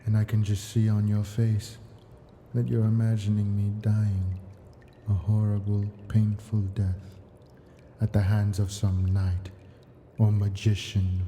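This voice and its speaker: Ancient male dragon, played by a man, ASMR roleplay: very dark, mellow, slow delivery, possessive and doting. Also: fairly low pitch, monotone, faint music behind. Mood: tender, wounded.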